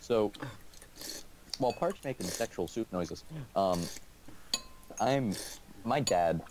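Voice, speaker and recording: Young male co-host, low-side voice, joking and teasing anecdote teller, clattery noisy recording. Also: lively intonation, takes it slowly. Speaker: video co-host. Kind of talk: casual chat, telling anecdotes. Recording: noisy room with clinking dishes.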